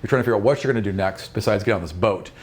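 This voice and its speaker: A man's voice: fairly low pitch, very fast. Man narrating a tabletop fantasy game. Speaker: game master.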